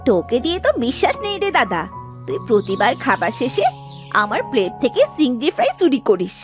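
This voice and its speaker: Give this greedy young cartoon daughter, played by a woman, a high, very animated voice. She whines and exclaims petulantly, always hungry. Light music runs underneath. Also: fairly quick. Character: greedy, whiny young daughter obsessed with food. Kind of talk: Bengali children's cartoon dubbing.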